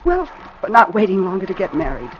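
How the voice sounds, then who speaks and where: An actress playing a frightened, pleading ship captain's wife in an old radio drama, with a melodramatic manner. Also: female voice, mid-range voice, wildly swooping pitch, fairly quick, lo-fi sound, slight background noise. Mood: anxious pleading. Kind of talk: 1940s-50s radio drama acting.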